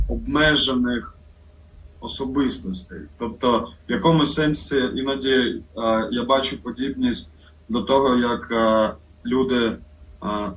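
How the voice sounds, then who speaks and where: A man talking reflectively in conversation, slow and halting with many pauses and fillers. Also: medium pitch, a bit bright, recorded from a distance, a little hiss or hum, low-quality recording.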